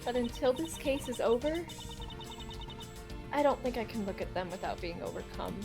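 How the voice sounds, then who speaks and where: Woman voicing a high-pitched, earnest teenage girl. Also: expressive, moderate pace. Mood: sad, tender. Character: earnest, emotional teenage girl grieving her father. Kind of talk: hobby fan dub of a video game. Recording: music clearly behind the voice.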